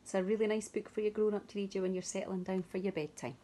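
A woman in her thirties reading a picture book to young children expressively and deliberately, with dramatic pauses. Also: mid-range voice, steady tone, mellow, a little hiss or hum. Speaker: early years teacher reading to children. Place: small room at home, indoors.

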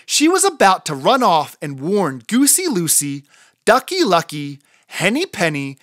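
Animated woman reading a children's story. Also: lowish voice, a little slow, no echo, studio quality. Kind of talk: expressive storybook read-aloud.

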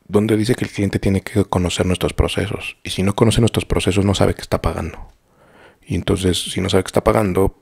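Low gravelly Mexican man teaching. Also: a little mellow. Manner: rambling, many fillers and restarts, addresses students directly. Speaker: art instructor. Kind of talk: live-streamed teaching chat. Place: small home studio.

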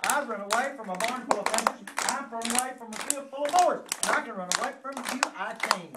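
A man's voice: extremely high pitch, fairly quick, pitched above the performer's own voice. An older man voicing a cocky little runaway gingerbread boy from a storybook, in an emphatic boastful chant. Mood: boastful, taunting. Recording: distant mic, echoey noisy room.

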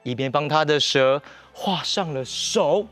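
A young man with a Taiwanese accent narrating a children's story in an animated TV presenter style. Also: fairly high pitch, a little slow, soft background music. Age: young adult. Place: TV studio set.

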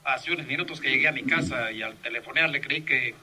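An actor with a Mexican accent playing an indignant, blustering police captain in an old radio serial. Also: male voice, medium pitch, fast talker, pushed and bright, husky.

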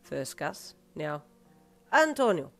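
A woman voicing an excitable, devout male taxi driver with an Italian accent. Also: deep voice, takes it slowly, soft background music, heavily acted. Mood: urgent. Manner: urgent whispering, warm grin.

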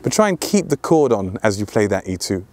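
A man's voice: lowish voice, sing-song, fairly quick, over music. A man in his thirties teaching ukulele in a casual, playful, chatty way, outdoors in an open field. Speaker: ukulele tutor and video host. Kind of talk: casual music tutorial with sung examples. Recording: ukulele played alongside the voice. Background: ukulele strumming and plucking.

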